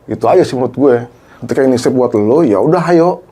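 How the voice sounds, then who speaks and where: A man's voice: mid-range voice, quick pace. A middle-aged man, a former TV cameraman, telling a story in casual slang and acting out quoted dialogue. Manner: animated, acts out quoted dialogue, Jakarta slang.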